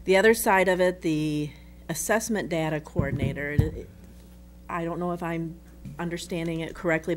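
A middle-aged woman at a school board meeting, asking questions in a halting, restarting way into a desk microphone. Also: fairly low pitch, a little hiss or hum. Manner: halting, restarts and trails off between thoughts.

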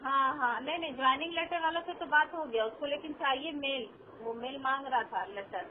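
A woman's voice: sits high, a little fast, slight background noise. A woman working as an office phone operator, talking on a call. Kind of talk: phone conversation. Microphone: telephone. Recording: tinny narrow phone line recording.

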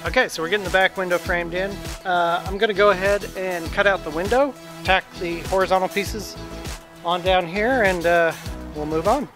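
A man's voice: high voice, normal speed, over music. Chatty man working in a backyard. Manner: relaxed, chatty, many "uh" fillers. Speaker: DIY camper renovation vlogger. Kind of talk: casual explaining while working. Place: backyard outdoors. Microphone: handheld camera close up.

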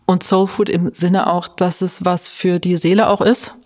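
A woman's voice: fairly low pitch, flat delivery, normal speed, a little mellow. A woman hosting a podcast interview, asking casual questions and affirming often with short hums. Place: state representation office, indoors.

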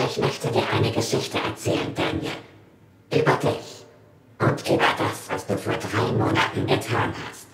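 A man's voice: fairly low pitch, measured pace, crowd noise. A man playing an anonymous captor in a horror audio drama, taunting with sinister politeness in a whispery, toneless voice. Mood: taunting menace. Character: menacing anonymous captor, slow, taunting tormentor. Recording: echoey room, faint background noise.

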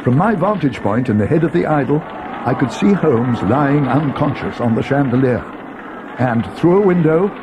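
An actor playing an earnest, loyal young friend of a detective, narrating a dramatic audio storybook. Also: male voice, mid-range voice, background noise, lightly acted. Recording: 1980s read-along cassette.

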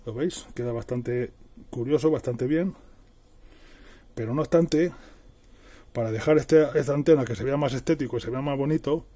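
Male DIY presenter, middle-aged. Very mellow, slightly rough voice, informal step-by-step delivery. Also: mid-range voice, moderate pace, pauses often. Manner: plain, step-by-step explaining with everyday diminutives. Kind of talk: casual hands-on how-to narration. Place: indoors at a worktable.

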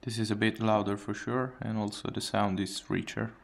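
A man giving casual hands-on commentary as a tech hobbyist, in a halting way with pauses between phrases. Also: on the low side, flat delivery, creaky. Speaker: tech hobbyist demonstrating a phone mod. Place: indoors, at a wooden table.

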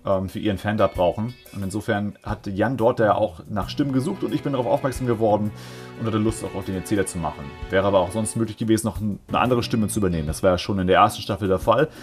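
A man with a low, calm voice explains his narrating work in an informal way. He talks quickly, and music plays behind him. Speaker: fan dub narrator and video creator. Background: background music.

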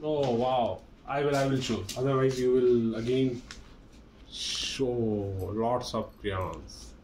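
A man's voice: medium pitch, steady tone, slow pace, darkish tone, low-quality recording. A man in his thirties with an Indian accent chatting casually with a small child, coaxing with short repeated questions and prompts. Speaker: father filming a kids' show with his child. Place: small living room at home. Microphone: phone camera at arm's length or further. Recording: home phone recording with background noise.

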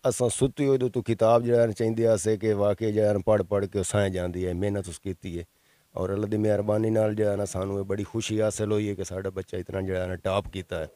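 Deep-voiced Punjabi-accented father. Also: level tone, a little fast, warm tone, no echo. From Pakistan. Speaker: bicycle mechanic father.

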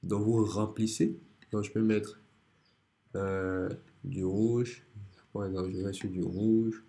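A man with a cold, calmly walking through a software design tutorial step by step over a screen recording. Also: on the low side, takes it slowly. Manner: relaxed, step-by-step explaining with many restarts. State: has a cold.

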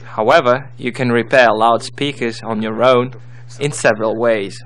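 A man's voice: mid-range voice, steady tone, normal speed, fairly bright tone, rough recording. A man giving scripted, step-by-step instructional narration as the narrator of a tutorial.

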